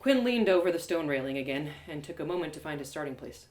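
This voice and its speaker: A woman's voice: sits low, expressive, quick pace, a little mellow, a little hiss or hum. A woman storyteller giving dramatic first-person narration of a tale. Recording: roomy echo of home live reading.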